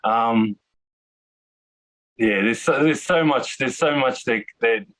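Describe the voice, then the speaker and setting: A man's voice: medium pitch, slow pace, fairly bright tone, rough recording. A Māori man from New Zealand talking hesitantly in a casual interview over a video call, with many restarts. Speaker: detainee interviewee.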